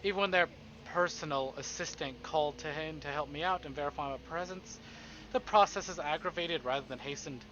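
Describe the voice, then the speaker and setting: A man's voice: fairly high pitch, a little fast, bright, forceful tone, highs cut off, a little hiss or hum. A man streaming a visual novel, reading it aloud theatrically with playful, casual asides.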